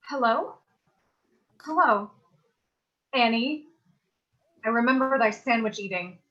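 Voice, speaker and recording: A woman's voice: fairly high pitch, slow, pushed and bright. A woman playing an exasperated young woman on a call, theatrical and questioning in mock-Shakespearean phrasing, on a dull, muffled recording. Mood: puzzled, annoyed. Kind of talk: Shakespearean staged reading, horror parody.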